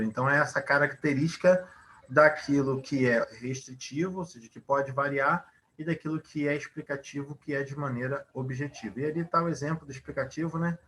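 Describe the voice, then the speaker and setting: A man's voice: mid-range voice, sharp tone. A man teaching a Portuguese grammar lesson, explaining at length, asking rhetorical questions and using many fillers.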